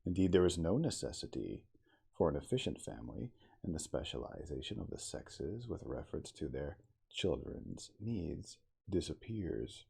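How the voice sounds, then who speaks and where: Man playing a dignified captain, deep and gravelly. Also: very animated, moderate pace, a little mellow, full character voice. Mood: thoughtful, reflective. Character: dignified male starship captain reading a storybook. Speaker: cosplayer reading aloud as a character. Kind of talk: ASMR audiobook reading of a classic novel.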